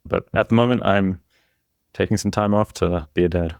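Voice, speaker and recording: An Australian man in his thirties answers as a podcast guest in a casual, conversational way. His voice is deep, and the recording is dry and close. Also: fairly even intonation, moderate pace, creaky, darkish tone, dry recording. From Australia and Singapore. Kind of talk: casual interview chat.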